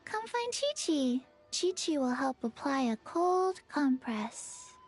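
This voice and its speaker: A woman voicing a small, deadpan little girl in a video game, speaking haltingly. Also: sits high, faint music behind, heavily acted. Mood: flat, caring. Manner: deadpan, halting, refers to herself by name. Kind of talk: scripted video game dialogue.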